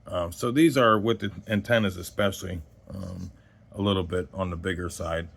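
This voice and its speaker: Guy in his 40s, kinda low voice, casual rambling review, loses his thread mid sentence. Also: steady tone, normal speed, gravelly. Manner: rambling, conversational, trailing off mid-thought. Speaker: host of a radio gear review channel. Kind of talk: casual unscripted product review chat.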